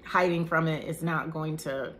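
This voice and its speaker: A woman's voice: low-pitched, fairly even intonation, normal speed, a bit bright, slight background noise. A woman in her thirties giving a casual health talk to camera, chatty, with joking asides. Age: 30s. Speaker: vlogger.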